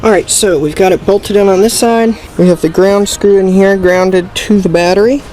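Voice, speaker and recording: A man's voice: high-pitched, steady tone, normal speed, a little mellow, faint music behind, rough recording. A man hosting a DIY video, explaining things methodically, step by step, in an instructional style. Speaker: host of a DIY how-to channel. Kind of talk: instructional how-to commentary.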